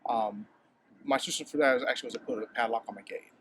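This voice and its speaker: A man from Hawaii, a home fruit grower, talking in a halting, casual way, often repeating words and filling pauses with um, recorded outdoors in a yard. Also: medium pitch, a bit bright, a little hiss or hum. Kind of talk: casual interview.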